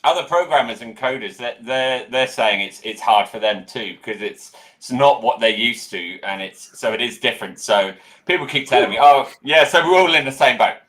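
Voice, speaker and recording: A man's voice: mid-range voice, normal speed, fairly bright tone. An English man joking with mocking asides in a casual live-stream chat over a video call. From England.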